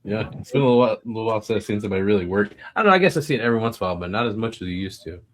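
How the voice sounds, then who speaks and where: A man in casual, informal podcast conversation, quick to chuckle. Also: lowish voice, lively intonation, noisy background. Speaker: podcast panelist.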